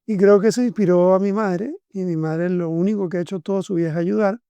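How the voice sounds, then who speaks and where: A man's voice: high-pitched, steady tone, fast, warm tone, clear, resonant tone, dry recording. A man, hosting a podcast interview and speaking with effusive enthusiasm. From Venezuela. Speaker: podcast host. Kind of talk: conversational interview.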